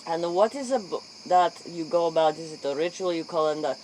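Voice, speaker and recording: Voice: medium pitch, brisk, a little mellow, close to an everyday voice, poor audio. A performer playing an earnest, curious interviewer in a spoof late-night role play, speaking clipped broken English. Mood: probing, earnest. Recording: faint noise, chirping insects.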